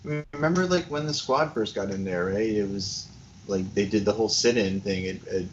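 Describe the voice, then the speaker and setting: A man's voice: lowish voice, brisk. A man co-hosting a political show, chatting in loose, colloquial commentary full of filler words. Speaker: political talk show co-host. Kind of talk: casual chat, commentary. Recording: dull lo-fi audio with noise.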